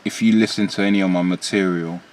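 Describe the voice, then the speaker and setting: A man with a London accent, a rapper guest in a candid interview, telling stories in an animated way with London slang. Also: quick pace, slight background noise. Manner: lively, rising pitch when quoting himself.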